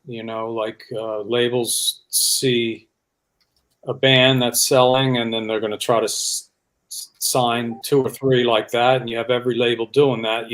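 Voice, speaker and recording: A man with a medium-pitched voice speaks as an interview guest. He is a rock musician and talks in a casual, conversational way about his work. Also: a bit bright, rough recording.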